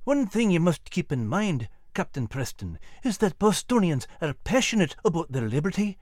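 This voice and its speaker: A man's voice: on the high side, sing-song, moderate pace, darkish tone, no room sound, clean studio sound, pitched above the performer's own voice. A man voicing a kindly, grave old Scottish sergeant in a storybook, with folksy old-fashioned soldierly phrasing. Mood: grave warning.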